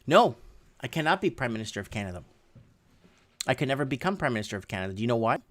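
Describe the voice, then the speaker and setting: A man's voice: mid-range voice, very animated, fast talker, a bit bright. A middle-aged man, a native Portuguese speaker, hosting a livestream and casually reading and commenting on news headlines with rambling, sarcastic asides. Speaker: livestream host.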